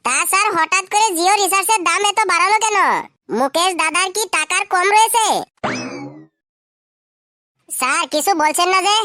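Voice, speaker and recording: A performer voicing a cheeky, quick-witted schoolboy prankster with a squeaky, artificially high voice in a comedy cartoon. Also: bright, forceful tone, dry recording, clean studio sound. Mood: cheeky complaining.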